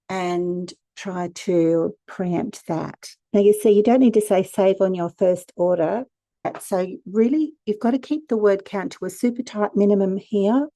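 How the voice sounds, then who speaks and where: A hoarse woman talks through a casual tutorial in a chatty, direct way. Her voice is mellow and warm, and the recording is close and dry with no room sound. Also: medium pitch, no echo. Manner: chatty, direct, practical advice. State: hoarse from a cold. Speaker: e-commerce consultant and channel host. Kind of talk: casual screen-share tutorial.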